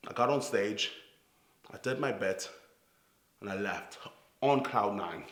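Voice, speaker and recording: A man from Trinidad whose accent carries a trace of New York tells his story in an echoey room. He talks at a middle pitch, earnest and reflective, often stumbling and restarting his words. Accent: Trinidadian with New York influence. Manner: earnest, halting, with repeated restarts. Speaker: youth theatre founder and director. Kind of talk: reflective personal storytelling to camera.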